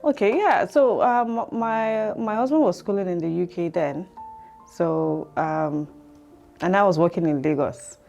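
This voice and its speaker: A woman's voice: fairly low pitch, unhurried, gravelly, darkish tone, faint music behind. A Nigerian woman in her thirties, a guest telling her life story in a hesitant way, with many ums, in a studio.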